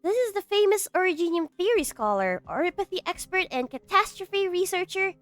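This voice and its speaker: A woman playing a brisk, scheming research director in a game fan dub. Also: very high voice, faint music behind. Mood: breezy, bluffing. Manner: expressive, brisk.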